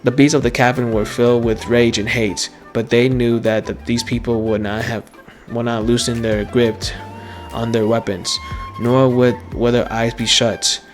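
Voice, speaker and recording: A man streaming a video game, reading story text aloud dramatically and haltingly, stumbling and repeating words. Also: medium pitch, level tone, soft background music.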